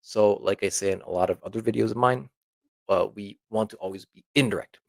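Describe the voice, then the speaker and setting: A man's voice: lowish voice, a little fast, creaky, dry recording. A man teaching an informal language lesson, explaining example phrases conversationally and often checking in with the listener.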